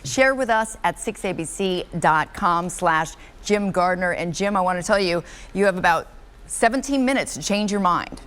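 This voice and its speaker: A woman's voice: on the low side, animated, moderate pace, a bit bright, clean tone. A woman with an American accent, co-anchoring the news in a cheerful, playful manner.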